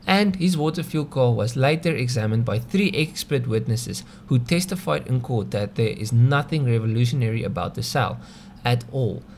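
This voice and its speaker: South african guy hosting an explainer video, chatty narration, mid pitched voice, bit of background noise. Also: darkish tone. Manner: conversational, rhetorical questions. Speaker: video host.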